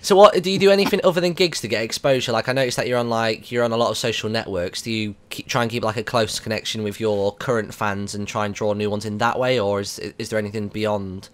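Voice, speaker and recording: A man's voice: on the low side, fairly even intonation, quick pace, few pauses, clean tone. A man with an English accent interviewing in a casual, chatty and teasing way on radio.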